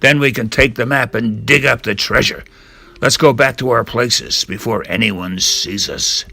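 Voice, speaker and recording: A man's voice: mid-range voice, unhurried, gravelly, pushed and bright, heavily acted. Older man reading as commanding pirate Long John Silver. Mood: plotting, commanding. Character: scheming one-legged pirate ship's cook giving orders.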